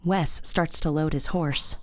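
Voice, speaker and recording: A woman reading scripted audio drama narration in a measured, matter-of-fact way. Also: low-pitched, steady tone, no room sound, clean studio sound.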